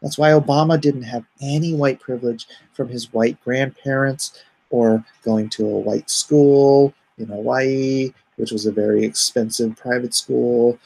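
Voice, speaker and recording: A middle-aged man streaming live from home, reading chat aloud and answering it in an easygoing, even-tempered way. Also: mid-range voice, measured pace, gravelly, rough recording. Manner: calls himself soothing and even-tempered; reads chat comments aloud and answers them.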